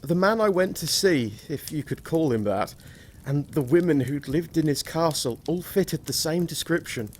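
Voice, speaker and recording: Man as a haunted, higher-voiced young husband. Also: expressive, moderate pace, few pauses. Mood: haunted, apologetic. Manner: hesitant, apologetic. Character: shaken, haunted young husband back from abroad. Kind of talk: theatrical gothic horror audio drama.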